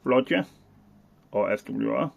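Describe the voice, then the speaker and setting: A man giving a casual, unscripted product demonstration as a hobbyist reviewer, halting and thinking aloud as he goes. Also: fairly low pitch, animated, vocal fry, narrow, tinny audio.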